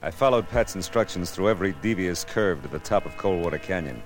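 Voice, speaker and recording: Brief: low-pitched hard-boiled detective, wry and wary, noir radio narration, faint music underneath. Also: male voice, animated, quick pace. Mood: tense, watchful.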